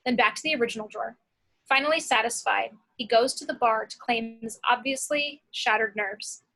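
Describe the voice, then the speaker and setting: A woman's voice: fairly high pitch, pushed and bright, poor audio. A woman reading screenplay action and scene headings as scripted narration.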